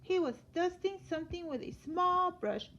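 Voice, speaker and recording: A woman teacher reading a children's story aloud in an expressive storytelling manner. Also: very high-pitched, moderate pace. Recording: dull lo-fi sound with light noise.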